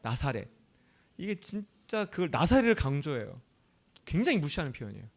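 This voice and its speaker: A man's voice: on the high side, big pitch swings, fairly quick. A male pastor from Chungcheong, South Korea, preaching a sermon in a conversational way. He often asks the audience questions and laughs easily.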